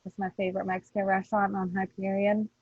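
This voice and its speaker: A woman's voice: medium pitch, completely flat delivery, quick pace, breathy edge, no echo, narrow, tinny audio. A woman chatting casually with slangy phrasing and some easy swearing. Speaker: podcast cast member. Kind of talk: casual chat.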